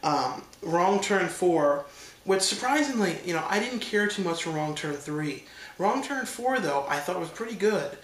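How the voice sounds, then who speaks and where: A man's voice: high-pitched, animated, a little slow, fairly bright tone, reverberant, poor audio. A man with a stuffed-up nose from allergies giving a loose, rambling casual review, with many fillers and restarts. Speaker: horror film reviewer.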